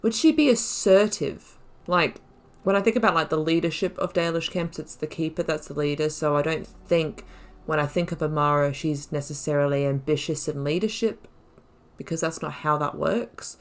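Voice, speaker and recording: A woman's voice: deep voice, moderate pace. A woman hosting a playthrough with casual commentary, reflectively thinking aloud as she goes. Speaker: gaming channel host. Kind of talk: casual let's play commentary.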